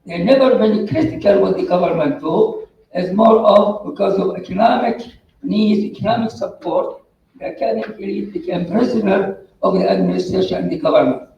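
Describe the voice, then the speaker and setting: A man's voice: sits high, moderate pace, dark tone, highs cut off, a little hiss or hum. A man from the audience giving an earnest comment at a conference, his phrasing non-native, recorded from far off in a hall. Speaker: audience member. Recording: roomy hall, far from the mic.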